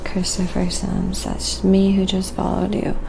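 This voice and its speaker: A woman livestream host speaking softly and breathily in a casual ASMR style, often slipping into a whisper as she muses aloud while painting and thanks viewers. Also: mid-range voice, fairly even intonation, unhurried, noisy background. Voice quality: soft, breathy, often dropping into whisper and fry.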